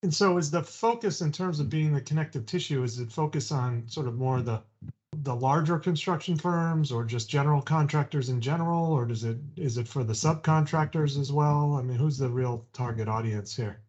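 A man's voice: on the high side, normal speed, a little mellow. A man hosting an interview, asking conversational questions that often restart mid-sentence. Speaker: podcast host. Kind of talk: interviewing a guest. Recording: dull lo-fi audio.

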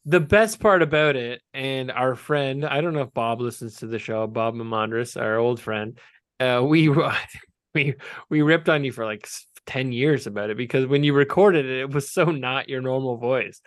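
A man in his forties hosts a casual podcast, teasing his co-host and rambling through long asides, quick to laugh. His voice is mid-pitched and sounds close and dry, with no room around it. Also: no echo. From Canada. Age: 40s. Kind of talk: casual chat.

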